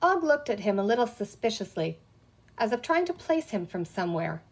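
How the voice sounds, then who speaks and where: A woman narrates a children's storybook in a fairly high voice. She reads the scripted text expressively, as an audiobook reader. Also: big pitch swings, fairly quick.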